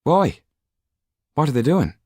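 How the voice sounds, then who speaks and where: A man's voice: a little high, very animated, unhurried, a little mellow, slightly rough, no room sound, studio quality, voice pushed up, heavily acted. A man voicing a blunt, scornful, outspoken male chef in an audiobook. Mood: urgent.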